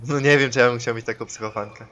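A man's voice: mid-range voice, steady tone, quick pace, fairly bright tone, lo-fi sound. A man casually bantering and joking with friends while playing a co-op video game, swearing now and then. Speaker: gamer in co-op gameplay session.

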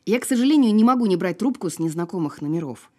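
A woman's voice: mid-range voice, lively intonation, darkish tone, natural voice. An actress playing a wry, guarded woman in an audio drama, sparring with dry sarcasm. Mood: suspicious, sardonic. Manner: dry, sardonic, unhurried sparring. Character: wry, guarded woman who survived a fall. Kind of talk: naturalistic audio drama acting.

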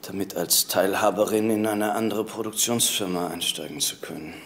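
Middle aged guy being questioned in a crime show, low voice, subdued n defensive, bit of noise. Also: fairly even intonation, normal speed, a bit bright. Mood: subdued, wistful. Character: grieving married man, defensive, questioned by police. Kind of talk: TV crime drama dialogue.

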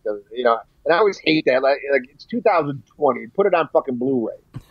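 A man talks casually as a podcast reviewer, at a middling pitch. He rambles and swears bluntly. The recording is dry and close, a little dull on the top end. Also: expressive, brisk, husky, dry recording. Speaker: podcast co-host reviewing a music documentary. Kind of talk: casual chat. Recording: slightly dull, lo-fi podcast audio.

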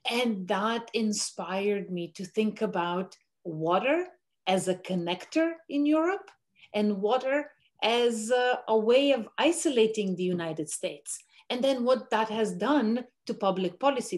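A middle-aged woman from Bulgaria, a professor answering interview questions over a video call from a home room. She talks in a measured, thoughtful way, pausing on fillers as she builds her points. Her pitch sits in the middle range. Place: home room with window blinds.

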